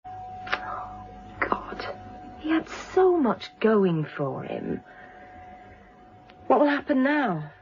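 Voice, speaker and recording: A woman's voice: high-pitched, big pitch swings, extremely slow, long pauses, highs cut off, exaggerated voice. An actress playing a plucky, candid British woman rescued from danger in a radio drama. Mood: reflective, unwinding.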